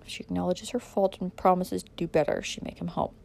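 A woman's voice: lowish voice, fairly even intonation, fast, creaky, a little mellow, slight background noise. Haughty, stern patriarch for a storybook, older man played by a woman, stiff and commanding. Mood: cold, stern. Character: proud, stern older father, domineering and angry.

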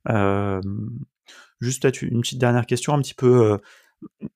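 A man's voice: medium pitch, fairly even intonation, measured pace, darkish tone. A man hosting a podcast, chatting casually as he interviews his guest.

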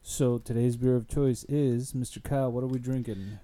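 A man's voice: mid-range voice, steady tone, normal speed, a crowd in the background. A young man hosting a casual beer podcast, playful and crude, tossing in mock German words. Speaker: podcast host. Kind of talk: casual chat.

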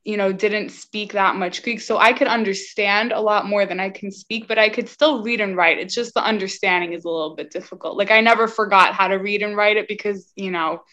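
A woman's voice: mid-range voice, steady tone, brisk, few pauses, bright, forceful tone. A woman hosting a podcast, chatting casually and filling her talk with "like" and "you know". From United States. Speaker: podcast host. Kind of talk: casual chat. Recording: slightly dull lo-fi audio.

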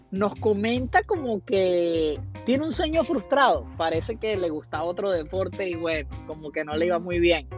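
A man hosts a casual podcast. His voice is upbeat and he often repeats phrases. The audio is dull and lo-fi, with faint music underneath. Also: high-pitched. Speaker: podcast host. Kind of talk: podcast intro and interview.

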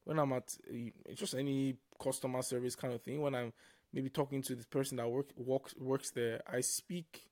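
A man's voice: medium pitch, flat delivery, normal speed, a little mellow, no room sound, clean studio sound. A young man from Nigeria with a Nigerian accent, co-hosting a casual faith-based podcast, reflective and often restarting his words. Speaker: podcast co-host.

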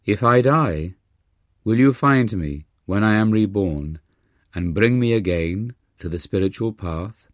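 A man's voice: lowish voice, animated, measured pace, no echo, clean studio sound. A man voicing an earnest young schoolboy disciple, respectful and imploring, in an audiobook. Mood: tearful pleading.

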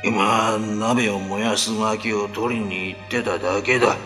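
Menacing male demon, low gravelly growl, bright forceful edge, suspicious, radio drama. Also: fairly even intonation, unhurried. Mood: brooding, threatening. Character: menacing man-eating demon, long-lost older brother. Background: background music.

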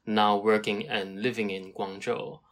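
Hesitant Hong Kong man, lowish voice. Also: flat delivery, unhurried. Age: early 30s. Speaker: podcast guest, climate scientist. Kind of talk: conversational interview answers.

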